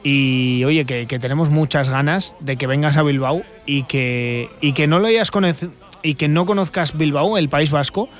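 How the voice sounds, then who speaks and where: Spanish radio host guy, talks loose and friendly, lots of fillers, voice a bit high, soft music behind. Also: moderate pace. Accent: Spain Spanish. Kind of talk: radio interview.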